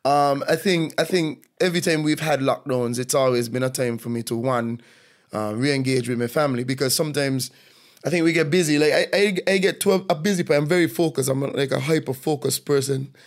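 A man hosting a casual chat, relaxed and affirming, thinking out loud with restarts and frequent murmurs of agreement. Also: medium pitch, fairly quick.

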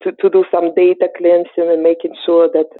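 A woman with a Ukrainian accent, in her forties, answering interview questions thoughtfully with many pauses and fillers. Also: lowish voice, little pitch movement, dry recording. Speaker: guest. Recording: dry, tinny phone-like line.

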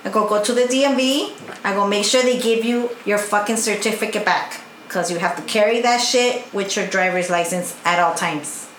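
A woman's voice: mid-range voice, moderate pace, a bit bright. A woman in her thirties chatting bluntly with friends and cursing freely. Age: 30s. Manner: blunt, profane. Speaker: podcast co-host. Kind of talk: casual banter among friends. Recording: echoey room with faint noise.

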